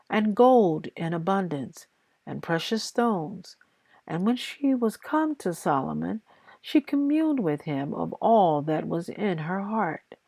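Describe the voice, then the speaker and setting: A woman reads scripture aloud in a lowish voice with a gravelly creak. She is a little tired and moves very slowly and deliberately through short phrases. The sound is dry and close, with no room around it. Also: a little mellow, no room sound. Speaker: Bible reader and host of a daily reading series. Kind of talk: scripture read aloud with brief casual introduction.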